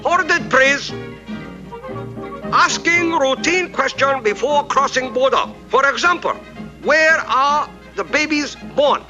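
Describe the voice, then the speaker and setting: A man's voice: very high-pitched, slow pace, sharp tone, highs cut off, full character voice. An actor voicing a polite, clever little male detective sidekick in a 1960s TV cartoon, with clipped broken English and excessive courtesy. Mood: formal. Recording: music bed under dialogue.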